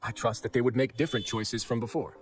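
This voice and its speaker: A man voicing a shrewd, composed prison fortress administrator in an anime game, courteous, smooth-talking and deliberate. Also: medium pitch, lightly acted. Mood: earnest, assured. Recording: faint background music.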